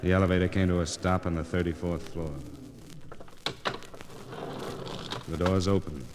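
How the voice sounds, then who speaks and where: An actor playing a grim, wry newspaper reporter in 1940s radio noir style, with terse, clipped, dramatic phrasing. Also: male voice, sits low, little pitch movement, fast talker, close to an everyday voice. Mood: tense, brooding. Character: hard-boiled male newspaper reporter, grim, wry and determined. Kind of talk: 1940s radio noir acting, first-person narration. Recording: crackly, noisy 1940s radio transcription disc.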